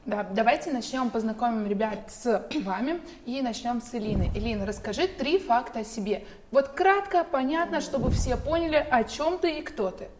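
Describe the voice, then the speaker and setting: A woman co-hosts a talk show, chatting and moving things along with lively energy. Her voice sits slightly high. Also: moderate pace, a little hiss or hum. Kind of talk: casual moderating.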